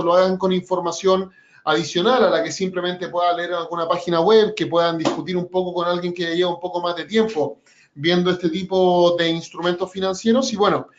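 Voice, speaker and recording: High-voiced man giving a trading webinar. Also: highs cut off. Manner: measured, explanatory, with frequent "eh" and "por ejemplo". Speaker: webinar presenter and trading educator. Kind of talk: educational webinar presentation.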